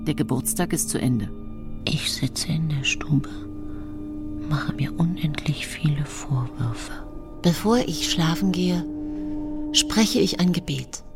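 A low female voice murmurs over faint music. She is a pious-sounding mother with a cold, scheming core, telling her own tale in a hushed, measured, confessional way. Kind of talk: German radio play monologue, present-tense narration.

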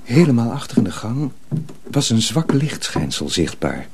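A man's voice: mid-range voice, very animated, moderate pace, background noise. A man reading scripted story narration for a radio drama.

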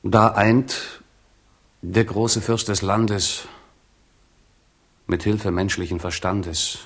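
A sardonic balladeer in a radio drama. The rhymed verse comes very slowly, in a fairly low male voice. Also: steady tone, a bit bright, husky, full character voice. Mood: sardonic, solemn.

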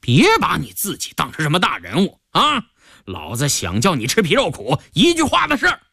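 A coarse, snarling bully of an imperial guard, acted by a male storyteller. The rough voice is pushed high above a normal register, menacing and wildly animated, in a dry recording. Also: normal speed, no room sound, full character voice. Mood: threatening. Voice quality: rough, loud-mouthed. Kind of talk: Chinese audio storytelling.

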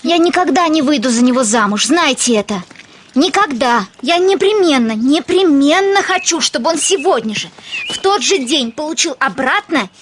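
A woman's voice: high-pitched, expressive, moderate pace, a little hiss or hum. An actress playing a willful, girlish young lady in a Russian radio drama, imperious yet coaxing, emphatic and theatrical. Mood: indignant, determined.

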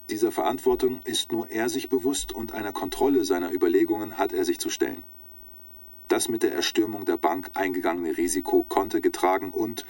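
Ein Schauspieler spielt in einem Hörspiel einen nüchternen, sachlich formulierenden Erzähler und Vernehmer. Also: male voice, sits low. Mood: formal, official. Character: sober male narrator and formal police interrogator. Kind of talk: scripted radio drama narration.

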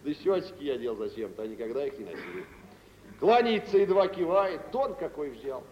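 An actor playing a jovial, sly, mocking wealthy Russian merchant in mid-century radio theatre style, teasing with false humility. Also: male voice, on the high side, lively intonation, moderate pace, close to an everyday voice. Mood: amused gossip. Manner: teasing, mock-humble, chuckling gossip. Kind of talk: 1940s-50s radio theatre acting. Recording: old radio recording, hollow hall, muffled, hissy.

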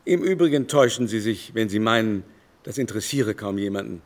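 A man's voice: fairly low pitch, expressive, brisk. An actor playing an aging, haughty male aristocrat, indignant and gossipy, declaiming theatrically in a literary radio drama. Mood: nostalgic indignation. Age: older. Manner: theatrical, declamatory, long-winded lamenting. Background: musical score.

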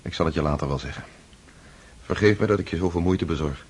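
A man's voice: deep voice, fast, husky. An actor playing a pensive, absent-minded nobleman who speaks in a musing, hesitant way. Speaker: Pierre, a nobleman. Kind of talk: radio drama acting.

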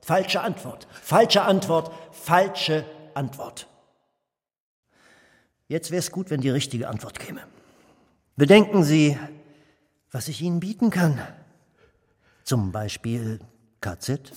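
A theatrical Nazi minister with a highish voice that swoops widely in pitch. He is pompous and domineering, repeats himself for menace, and moves between silky threat and bark. It is radio drama, set in a long, ringing hall. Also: animated, slow pace, pauses often. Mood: menacing, coaxing. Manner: pompous, repetitive, swings from soft threats to barking. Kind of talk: German radio play acting. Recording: long hall reverb, faint music underneath.

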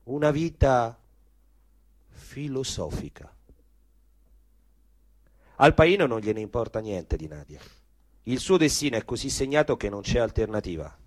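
A man narrating literary prose as a stage actor, with dramatic, deliberate phrasing. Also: mid-range voice, expressive, dry recording.

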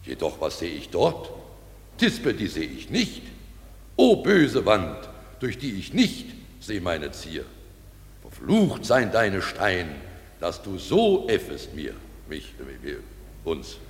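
An actor playing an earnest, bumbling amateur who hams up a tragic lover in bombastic, drawn-out radio play verse. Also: male voice, low voice, huge pitch swings, full character voice. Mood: overblown lament. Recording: echoey hall with long reverb.

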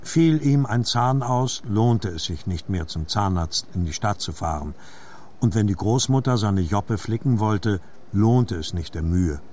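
An actor playing a reflective adult narrator remembering his boyhood, reading literary narration in a measured, husky voice. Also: lowish voice, animated, a little mellow, slight background noise. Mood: melancholy.

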